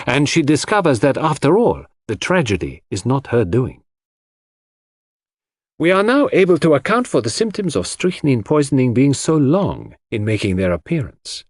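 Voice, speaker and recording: A man voicing a shrewd, theatrical detective in an audiobook, speaking deliberately and dramatically with rhetorical questions as he lectures suspects. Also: medium pitch, darkish tone, no echo, studio quality. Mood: explaining calmly. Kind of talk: audiobook character dialogue.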